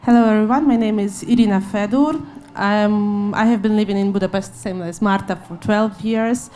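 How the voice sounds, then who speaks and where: Woman, Ukrainian accent, NGO panelist, mid pitch, light event noise. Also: steady tone, normal speed, warm tone. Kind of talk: panel self-introduction. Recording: faint background noise, live event ambience.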